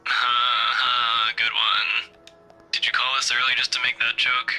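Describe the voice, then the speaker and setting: A man playing a gruff, blunt young police detective with a protective side in a visual novel game. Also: medium pitch, monotone, slow pace, pushed and bright, poor audio. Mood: groggy, irritated. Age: young adult. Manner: curt, blunt, dry. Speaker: game voice actor. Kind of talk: dramatic visual novel dialogue. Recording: music behind the voice.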